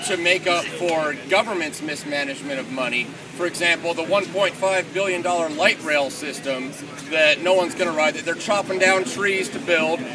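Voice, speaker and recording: A man at an outdoor meeting challenges a speaker with pointed questions, in a loud, argumentative manner. His voice is a little high. He is heard from a distance, with clear outdoor noise behind him. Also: moderate pace, hardly any pauses. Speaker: audience member asking questions. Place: outdoor public gathering.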